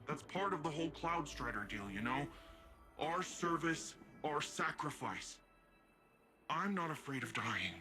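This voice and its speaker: Earnest young cyborg hero, music behind. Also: male voice, a little high, lively intonation. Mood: vulnerable, grieving. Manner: informal, confessional, halting. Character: young cyborg hero, earnest, grieving, casual and open-hearted. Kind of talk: video game cutscene acting.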